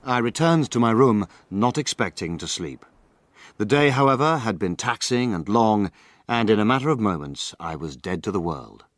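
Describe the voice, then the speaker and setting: An actor as a lowish, measured male storyteller. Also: expressive, no echo, natural voice. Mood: grave storytelling. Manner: formal, measured, literary. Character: measured male narrator recounting a mystery. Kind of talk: scripted first-person drama narration.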